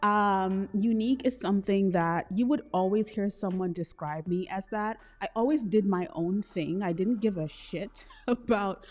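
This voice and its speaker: A woman from Trinidad hosting a solo podcast, talking in a chatty, expressive way with slangy asides. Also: mid-range voice, moderate pace, soft background music. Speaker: podcast host. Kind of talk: solo podcast, casual self-reflection.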